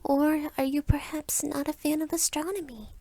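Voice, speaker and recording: A woman voicing a sweet, chatty young vampire girl next door in a soft, coy ASMR roleplay manner. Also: high-pitched, level tone, normal speed, pitched above the performer's own voice. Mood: friendly, coy.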